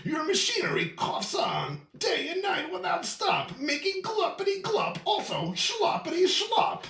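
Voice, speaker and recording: A man voicing a small indignant creature who guards the trees, in a croaky, strained storybook voice with snappy, scolding rhymes. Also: extremely high pitch, wildly swooping pitch, measured pace, sharp tone, reverberant, far from the mic, voice pushed up, heavily acted. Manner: snappy, scolding, theatrical rhyming delivery. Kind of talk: storybook read-aloud character voice.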